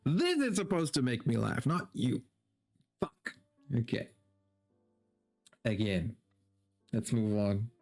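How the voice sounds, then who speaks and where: A man's voice: mid-range voice, very animated, slow, long pauses, darkish tone, faint music behind. A man doing a dramatic story reading for a stream, mock-serious and breaking into incredulous, sweary commentary.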